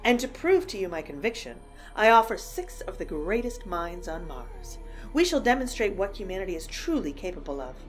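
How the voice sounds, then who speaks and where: An actress plays a confident woman scientist in an audio drama, poised and grandly self-assured. Her voice sits at a middle pitch and swoops with theatrical, declamatory emphasis over music. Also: big pitch swings, moderate pace, close to an everyday voice. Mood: assured, proud.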